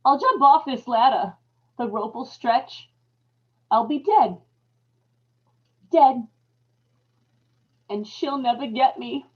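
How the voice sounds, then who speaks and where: A woman's voice: sits high, slow, pauses often. A woman playing a terrified, guilt-ridden wife losing her grip, in old-time radio horror style, dramatic and obsessively repetitive. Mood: frantic, defiant. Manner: dramatic, haunted, repeating words obsessively. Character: terrified, unraveling young farm wife, guilt-ridden and paranoid. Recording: dull lo-fi live recording with background noise.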